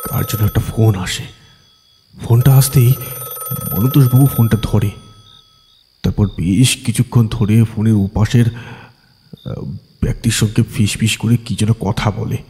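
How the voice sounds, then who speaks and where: Horror audio story: frightened villager played by a man, deep voice, halting suspenseful delivery, long reverb. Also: mellow. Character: frightened village man recounting a night of ghostly terror.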